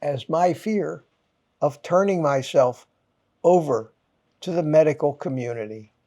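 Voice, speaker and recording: A man's voice: on the high side, a little slow, warm tone. A man hosting his own channel, ranting to viewers in short, punchy, exasperated phrases and swearing for emphasis. He has a mild head cold. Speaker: channel host.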